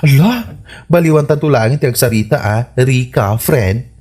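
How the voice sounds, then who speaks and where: A woman's voice: rumbling low, lively intonation, normal speed, darkish tone, husky, exaggerated voice. A woman with an Ilocano Filipino accent voicing a grown son who pleads with his father in a radio comedy drama. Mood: pleading.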